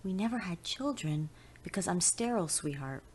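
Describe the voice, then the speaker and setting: A woman's voice: on the low side, expressive, normal speed, darkish tone, breathy edge. Woman reading as bewildered, tender husband. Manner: questioning, patient, tender. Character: Michael, sleepy, bewildered but tender husband.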